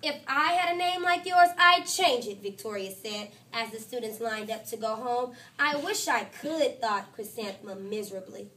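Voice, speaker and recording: Teacher lady reading a kids book to the class, bit high voice, a little off the mic, really plays up the quotes. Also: normal speed, hardly any pauses, recorded from a distance. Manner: expressive storytelling, voices quotes higher and louder.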